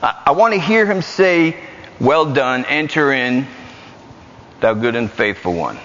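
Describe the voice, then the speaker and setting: A man with a New York accent preaching a lively, joking sermon in a church. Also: mid-range voice, a little slow, a little hiss or hum. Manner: animated, joking, repetitive. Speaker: guest preacher. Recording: muffled church hall recording.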